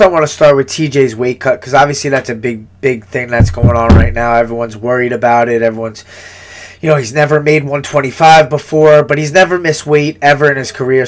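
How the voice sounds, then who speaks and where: Congested sniffling man breaking down fights. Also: medium pitch. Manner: rambling, repeats words, swears casually. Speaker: fighter giving fight picks. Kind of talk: casual fight breakdown monologue.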